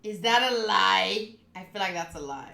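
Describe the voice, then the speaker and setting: A woman streaming a visual novel, mixing expressive, sweary commentary with reading the text aloud. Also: mid-range voice, moderate pace, vocal fry. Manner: expressive, sweary, reacts out loud. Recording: roomy echo.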